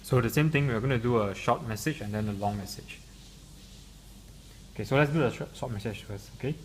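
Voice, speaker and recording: A man's voice: mid-range voice, a little fast. A man giving an unscripted product demonstration in a hesitant, stop-and-start way. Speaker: software demonstrator. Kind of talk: unscripted product demo explanation. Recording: clearly noisy room recording.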